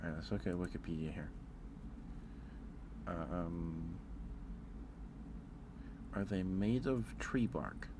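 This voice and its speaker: Low-voiced guy on an asmr stream, slow chatty rambling, repeats himself, clear background noise. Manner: hesitant, repeats phrases as he thinks aloud. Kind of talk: ASMR livestream, casual chat ranking things.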